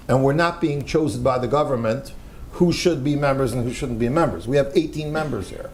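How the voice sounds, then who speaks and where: A man's voice: mid-range voice, a little mellow, a little hiss or hum. Earnest older American man on a panel. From United States. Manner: earnest, emphatic, repeats key phrases. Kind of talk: panel discussion.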